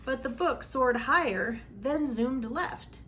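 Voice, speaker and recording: A woman's voice: sits high, slow pace, fairly bright tone, slight background noise. A woman hosting a children's read-aloud, reading a storybook expressively with dramatic emphasis.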